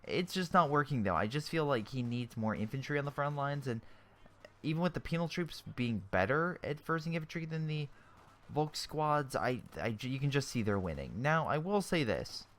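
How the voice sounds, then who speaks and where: A man's voice: medium pitch, animated, moderate pace, a bit bright. A young man with a sore throat giving casual, chatty commentary on a strategy game replay, often correcting himself mid-sentence and airing blunt opinions.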